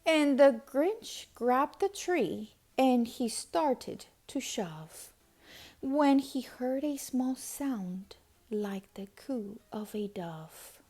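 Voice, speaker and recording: Very slow-paced woman reading a storybook. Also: high-pitched, warm tone. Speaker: storybook reader. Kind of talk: expressive children's storybook read-aloud.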